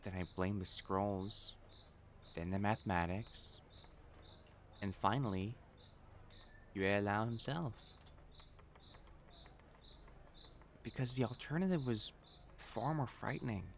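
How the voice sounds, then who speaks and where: A man's voice: lowish voice, a little slow, pauses often, a little hiss or hum. A man playing a prim young matchmaker fiancé in a romantic audio roleplay, formal and earnest in speech, easily flustered. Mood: tender, confessional. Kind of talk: intimate scripted romance roleplay acting.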